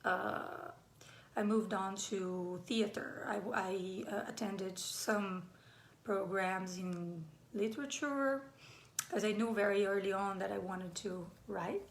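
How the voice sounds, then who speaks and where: Brazilian woman in her 40s, playwright speaking live online, gravelly and unhurried, roomy echo on a computer mic. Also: medium pitch, steady tone. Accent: Brazilian Portuguese. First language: Brazilian Portuguese. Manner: hesitant, many fillers and restarts. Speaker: playwright giving a talk.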